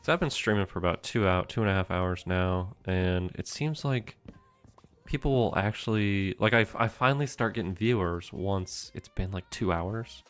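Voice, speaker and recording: A young man streaming a game from home, chatting with his viewers in a soft, meandering way. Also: deep voice, moderate pace. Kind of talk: casual gameplay commentary.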